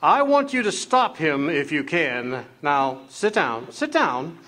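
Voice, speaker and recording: An actor playing a kindly, authoritative chief of angels who briefs his helper calmly and fatherly. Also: on the high side, slow pace, full character voice. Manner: calm, fatherly, instructive. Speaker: radio theatre actor. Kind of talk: live amateur radio drama acting.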